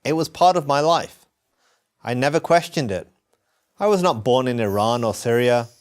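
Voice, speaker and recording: Brief: young man, earnest scripted narration, emphatic and animated in pitch, mid-range voice. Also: normal speed. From England. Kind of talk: scripted first-person narration.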